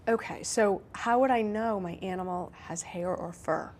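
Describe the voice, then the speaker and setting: A woman's voice: medium pitch, darkish tone, a little hiss or hum. A woman doctor hosting a health interview show, asking follow-up questions and summing up her guest's answers. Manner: inquisitive, recaps answers back, brisk follow-up questions. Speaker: talk show host, doctor.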